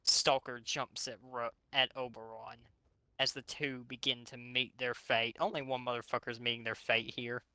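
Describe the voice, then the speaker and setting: A man's voice: medium pitch, level tone, moderate pace, pushed and bright, clean tone, no echo. A man hosting a reading of fan fiction aloud, breaking off into sarcastic, sweary commentary. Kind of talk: mocking dramatic reading with casual commentary.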